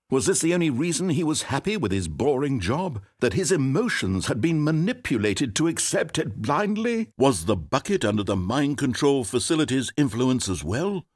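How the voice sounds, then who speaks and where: An actor playing a dry, sardonic male British storybook narrator in a video game, with a slightly husky voice. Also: on the low side, dry recording. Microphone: close studio mic. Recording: dry studio recording.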